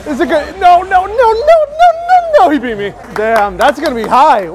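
A young man in his twenties giving excited running commentary at night, filming himself on an action camera at arm's length, with loud outbursts. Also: very high-pitched, normal speed. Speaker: vlogger. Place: outdoor stadium golf bays at night, rain. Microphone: action camera held at arm's length. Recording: noisy outdoor crowd and music. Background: crowd chatter and music.

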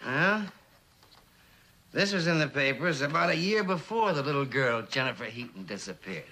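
A man playing an earnest, probing police lieutenant in a mid-century TV drama, speaking in a measured, questioning way. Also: medium pitch, expressive, bright, forceful tone.

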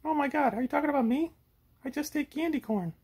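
A man voicing a whiny, excitable, childlike little monster in a comic puppet show, candy-loving and pleading. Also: very high voice, quick pace, pitched above the performer's own voice.

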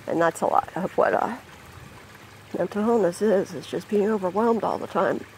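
A person talking casually outdoors by a backyard pond into a handheld phone, rambling and hesitant with many pauses and ums. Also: medium pitch, slight background noise, poor audio. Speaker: vlogger. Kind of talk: casual spoken vlog. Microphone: phone held by the speaker.

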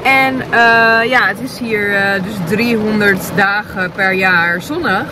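A woman in her thirties talks into a phone held at arm's length, chatty and loose, with lots of filler sounds. Her voice sits at an ordinary middle pitch. Rain and passing traffic are clearly heard behind her. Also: takes it slowly. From Netherlands. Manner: chatty, with many fillers and restarts. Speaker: vlogger. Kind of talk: casual vlog chat. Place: in a car, then outdoors in the rain.

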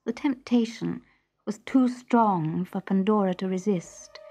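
A woman reading a scripted children's story in an expressive, deliberate manner, hushed at times. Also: mid-range voice. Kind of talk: scripted dramatic story narration.

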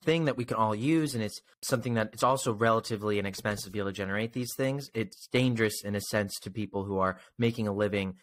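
A man's voice: lowish voice, fast talker, hardly any pauses. A young man speaking conversationally as a podcast interviewer, close to a desktop microphone on a video call. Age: young adult. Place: indoors at home, on a video call. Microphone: desktop microphone close in front.

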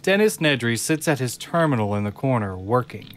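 A man narrating an audio drama from a script, in measured, descriptive storytelling. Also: medium pitch, sing-song. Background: faint music.

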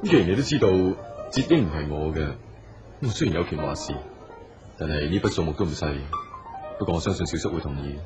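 A man's voice: rumbling low, expressive, quick pace, long pauses. A man with a Hong Kong Cantonese accent acting a polite, earnest, persistent businessman in a radio drama. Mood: businesslike. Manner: polite, solicitous. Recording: dull audio with music underneath.

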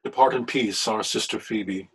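A solemn, forgiving older husband in a period radio mystery, played by a man. The voice is fairly low and rough-edged, with gentle, measured delivery. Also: steady tone. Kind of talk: period radio drama acting. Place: room at home, video call.

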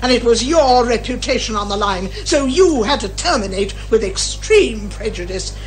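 A woman acting as a bossy British lady detective in an eighties radio comedy drama. Her voice is high and very lively in its swings, brisk and theatrical, with old-fashioned phrasing. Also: close to an everyday voice. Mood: accusing. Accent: British English.

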